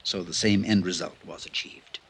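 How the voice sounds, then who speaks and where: A very low, rough-edged male voice, a tired middle-aged country doctor in a radio mystery. He sounds halting and worn, like a man confessing. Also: steady tone, a bit bright. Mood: remorseful. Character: harried, worn-out village doctor under sixty, remorseful drunk. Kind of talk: old time radio drama acting.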